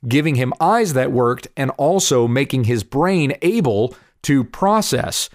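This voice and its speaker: A man in his forties, slightly hoarse from allergies, earnestly explaining Bible passages and answering viewer questions. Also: medium pitch, animated, measured pace, no room sound, studio quality. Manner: earnest, explanatory, reads scripture aloud. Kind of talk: casual Bible teaching video. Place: home in Kansas.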